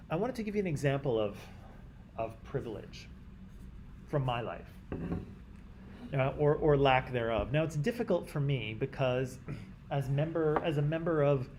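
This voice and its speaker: A man's voice: medium pitch, background noise. Canadian man teaching, lots of "right?". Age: adult. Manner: conversational, frequent "right?" checks with students; clears throat often. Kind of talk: classroom lecture.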